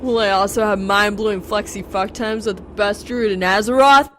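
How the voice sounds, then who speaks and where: A woman's voice: mid-range voice, fairly even intonation, moderate pace, a little hiss or hum, voice pushed up, close to an everyday voice. A woman voicing a brash, foul-mouthed young man who teases in bombastic, slangy bursts for an audiobook. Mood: loud, teasing. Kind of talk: audiobook character acting.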